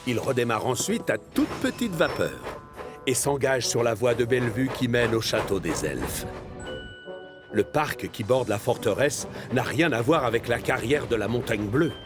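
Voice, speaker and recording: A man with a mid-pitched voice narrates a children's tale. He tells it expressively from a script, with music playing behind him. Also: big pitch swings, moderate pace. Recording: music under the voice.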